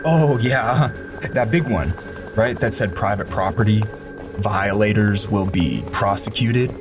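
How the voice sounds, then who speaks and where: A man's voice: lowish voice, unhurried. An actor playing a nervous young man who tags along with his friend, teasing him and worrying about getting caught. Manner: teasing, laughs easily, worried. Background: music.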